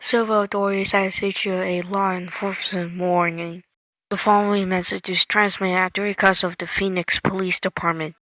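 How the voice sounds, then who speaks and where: A woman's voice: medium pitch, little pitch movement, normal speed, very noisy. A woman reading scripted emergency broadcast announcements in a formal, stilted manner. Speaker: emergency alert announcer and news anchor.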